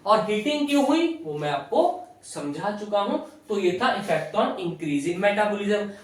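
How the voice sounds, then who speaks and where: A woman with an Indian accent giving an explanatory lecture in a didactic way, posing questions and answering them herself, mixing in English technical phrases. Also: fairly high pitch. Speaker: physiotherapy lecturer. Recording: very echoey room, distant microphone.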